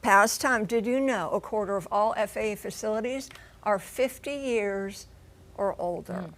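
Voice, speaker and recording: A woman's voice: mid-range voice, moderate pace, a bit bright. A woman reporting as a TV correspondent in an emphatic, folksy manner with rhetorical questions. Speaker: TV transportation correspondent. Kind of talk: broadcast news report with live studio discussion.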